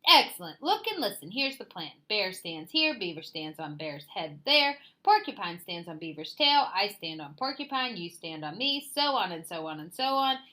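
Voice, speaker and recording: Woman voicing a vain, animated sly fox. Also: medium pitch, moderate pace, hardly any pauses. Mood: smooth, persuasive. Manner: pompous, showy, cutting others off, condescending. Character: sly, vain, bossy male fox schemer. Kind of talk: animated storybook read-aloud.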